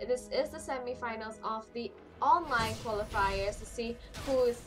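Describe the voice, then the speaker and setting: Hoarse woman casting a game, high voice. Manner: rapid play-by-play that jumps higher in fights. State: hoarse, losing her voice. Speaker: esports caster. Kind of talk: solo live game commentary. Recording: clear music behind the voice.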